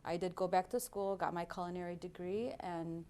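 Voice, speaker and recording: A woman's voice: on the low side, fairly even intonation, normal speed. A woman in her forties, a guest on an interview show, talking earnestly with frequent fillers. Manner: warm and earnest, with many fillers. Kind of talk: casual interview talk.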